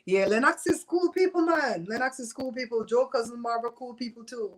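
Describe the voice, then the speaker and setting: A Belizean woman in her fifties with a fairly high voice hosts a live stream and chats straight to her viewers. She is blunt and gossipy and laughs often, with her Creole accent running all through her talk. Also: a little fast, background noise. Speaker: host of a live show. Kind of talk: casual chat with live viewers.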